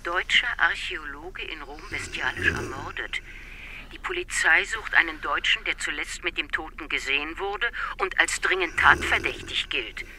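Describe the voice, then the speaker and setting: A woman's voice: low-pitched, normal speed, background noise, natural voice. An actress playing an overbearing, sarcastic elderly mother in a radio drama, speaking with theatrical, insistent delivery. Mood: alarmed, reproachful. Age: older. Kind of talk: German radio drama acting.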